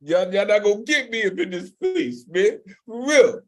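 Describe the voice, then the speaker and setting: A man hosting an online poetry open mic over a video call, moving between loud excited shouts and slow earnest praise for the poets. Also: high-pitched.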